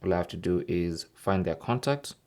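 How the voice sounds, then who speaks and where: A man's voice: deep voice, expressive, normal speed, darkish tone. A man presenting an instructional tech tip, explaining step by step and often checking in with the listener. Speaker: tech tutorial host.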